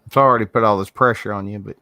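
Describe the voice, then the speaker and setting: A man's voice: fairly low pitch, steady tone, very fast, pushed and bright, no room sound. Southern male host, casual rambling delivery, dry laptop-call audio. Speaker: host of an art livestream. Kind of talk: casual chat. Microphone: video call from a laptop.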